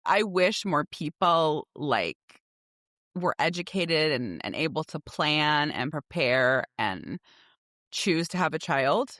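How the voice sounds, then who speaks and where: A woman's voice: low voice, expressive, takes it slowly, fairly bright tone, no echo, studio quality. A woman in her forties co-hosting a podcast in a casual, candid and emphatic chat. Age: 40s. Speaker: podcast co-host.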